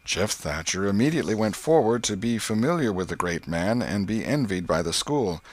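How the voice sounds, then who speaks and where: A man narrating a literary story as an audiobook, in a measured, expressive and wry manner. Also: lowish voice.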